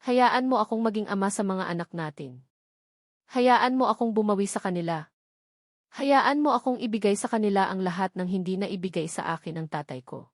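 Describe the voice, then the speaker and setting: A woman's voice: mid-range voice, a little fast, dry recording, clean studio sound, lightly acted. A woman voicing a remorseful, tender man in an earnest, pleading way, with a Filipino accent, in a narrated romance story. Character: remorseful, tender adult man confessing his love.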